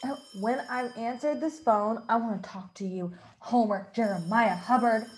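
A woman's voice: fairly high pitch, normal speed, natural voice. A woman playing a bossy, nagging wife in a radio play, scolding and insistent in a melodramatic way. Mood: stern. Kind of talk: classic radio play acting.

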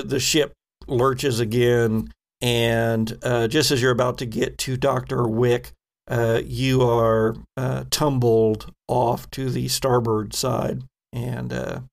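A man narrates a tabletop game in an unscripted, halting way. His voice is mid-pitched with a noticeable fry in it. He explains outcomes and rules as he thinks them through. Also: level tone. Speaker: game master of a tabletop role-playing podcast.

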